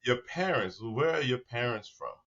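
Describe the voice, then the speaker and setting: A man's voice: medium pitch, expressive, moderate pace, fairly bright tone. A man hosting a casual interview, speaking in slang and chiming in with agreement, on slightly muffled audio. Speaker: interviewer, host.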